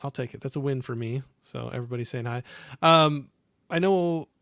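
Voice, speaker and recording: A man hosting a live stream chat, relaxed and easygoing as he reads out viewers' comments. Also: mid-range voice, normal speed, no echo, studio quality. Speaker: host.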